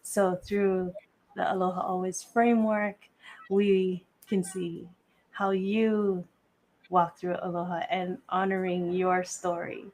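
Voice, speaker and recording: A woman from Hawaii hosting a live podcast interview, speaking in a measured, deliberate way. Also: fairly low pitch, level tone, darkish tone, low-quality recording. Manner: measured, deliberate delivery with a scripted-sounding welcome. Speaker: podcast host.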